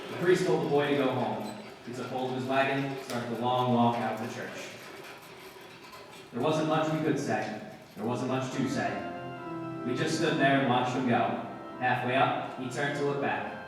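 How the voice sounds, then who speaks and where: A man as deadpan detective in echoey hall. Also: medium pitch, moderate pace, pauses often, natural voice. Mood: terse, deadpan. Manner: clipped, deadpan, understated. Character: terse, matter-of-fact male police detective narrating a case. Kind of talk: 1950s radio drama narration. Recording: echoey hall from far off, music behind. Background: music.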